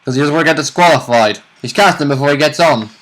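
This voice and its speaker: A man's voice: fairly high pitch, fast, fairly bright tone, poor audio. A man co-hosting a gaming vidcast, bantering casually in a blunt, sweary, mock-indignant way. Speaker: co-host of a tabletop role-play vidcast. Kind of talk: casual banter and short interjections.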